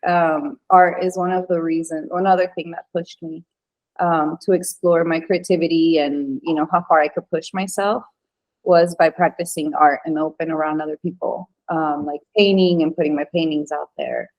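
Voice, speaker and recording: A woman's voice: deep voice, steady tone, moderate pace, darkish tone, low-quality recording. A woman in her thirties from Venezuela, chatting casually as a podcast guest with many fillers and restarts. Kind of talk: casual interview chat.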